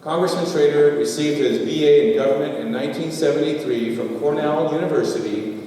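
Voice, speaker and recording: Man reading a guest bio, mellow tone, far from the mic in a big room. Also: a little high, a little fast. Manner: reads a bio with light asides. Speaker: event emcee introducing the guest. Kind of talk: reading a formal introduction. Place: large auditorium stage. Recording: echoey hall from far off.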